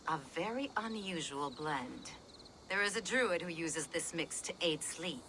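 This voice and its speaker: Bright-voiced, composed village healer woman. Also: fairly low pitch, lively intonation, unhurried, slight background noise. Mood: composed, concerned. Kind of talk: video game voice acting.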